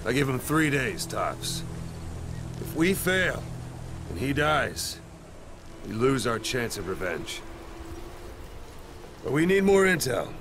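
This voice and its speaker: A blunt mercenary commander. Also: male voice, medium pitch, very animated, slow pace, long pauses, noisy background. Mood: low, steady. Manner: blunt, clipped, matter-of-fact briefing. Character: gruff male mercenary commander briefing a soldier. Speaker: commander giving a mission briefing. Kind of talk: video game cutscene acting.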